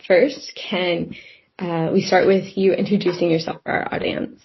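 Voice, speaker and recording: A woman's voice: on the low side, flat delivery, moderate pace. Woman podcast host, muffled lo-fi audio. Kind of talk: interview, scripted questions with casual asides.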